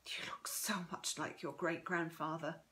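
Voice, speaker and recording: A woman voicing an elderly Irish grandmother in an audiobook, guarded, gentle and reflective, with an Irish accent. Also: low-pitched, fairly even intonation, a little slow, in a whisper. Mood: wistful.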